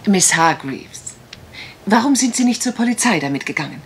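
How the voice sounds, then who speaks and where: Casting: cool-headed, direct investigator's right hand woman acting, medium pitch, formal, radio mystery with some noise. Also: animated, moderate pace, natural voice. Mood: brisk, probing. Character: composed, businesslike female detective's assistant, shrewd and direct.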